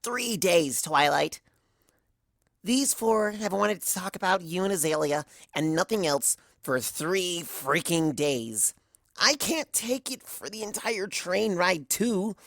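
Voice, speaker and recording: A woman's voice: fairly low pitch, lively intonation, slow, a bit bright, dry recording, clean studio sound, voice pushed up, full character voice. Lady putting on brash tomboy pony, cocky n slangy, voice jumps around a lot. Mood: exasperated. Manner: boastful, slangy, blunt. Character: brash, cocky young tomboy cartoon pegasus mare.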